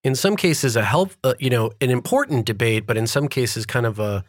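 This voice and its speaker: A man's voice: mid-range voice, animated, a little fast, no room sound, studio quality. A man hosting an interview podcast, talking informally and building up long, conversational questions. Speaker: podcast host.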